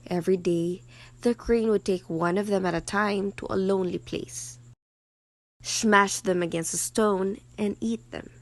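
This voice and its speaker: A woman's voice: mid-range voice, steady tone, a little slow, no echo. A woman narrating a scripted story as a storyteller.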